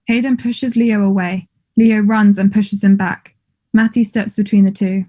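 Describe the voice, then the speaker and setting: Woman, mellow, mid-pitched, scripted narration, stage directions. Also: steady tone, unhurried.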